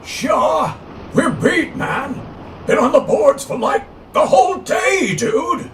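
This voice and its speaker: A man voicing a laid-back alien surfer who talks like a manic old scientist, halting, in surfer slang. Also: expressive, shouting, slight background noise, heavily acted. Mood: weary, mellow. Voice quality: high, creaky, strained. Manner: halting surfer slang, stumbling over big words.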